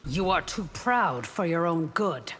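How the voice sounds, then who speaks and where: Husky, stern warrior woman. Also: fairly low pitch, lively intonation, a little slow, a bit bright, exaggerated voice. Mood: stern disdain. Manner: disdainful, formal. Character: stern, proud female warrior guarding an empress. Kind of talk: video game fight banter. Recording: echoing hall reverb.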